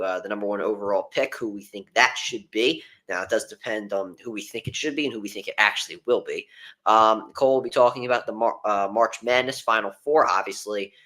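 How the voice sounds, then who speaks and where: Young man, podcast host, low-ish bright voice, loose rambling talk, full of fillers. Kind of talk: casual sports podcast talk.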